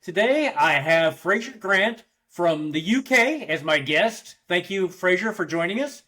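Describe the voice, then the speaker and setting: A man with a notably high voice hosts a podcast interview. He asks loose, rambling questions and fills the gaps with short nods of agreement. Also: expressive, measured pace, bright, forceful tone. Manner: friendly, many short backchannels and fillers. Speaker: podcast host. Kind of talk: casual interview.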